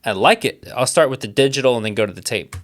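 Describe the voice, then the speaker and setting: A young man talking casually to camera in a mid-range voice, full of quick reactions and filler words. He sounds keen and curious, narrating each step of his own studio experiment. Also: mid-range voice, lively intonation, over loud music. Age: young adult. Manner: enthusiastic, thinks aloud, many "like"s and fillers. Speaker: music producer testing cassette tape. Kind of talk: casual vlog commentary.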